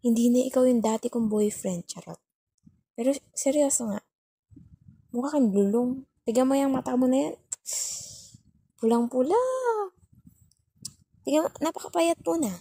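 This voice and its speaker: A woman's voice: sits high, moderate pace, long pauses, warm tone, breathy edge, no room sound. A woman with a Filipino accent playing a bossy but caring, worried young girlfriend who scolds and sulks in an intimate ASMR roleplay. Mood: nagging, teasing. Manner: scolding, sulky, teasing, repeats herself for emphasis.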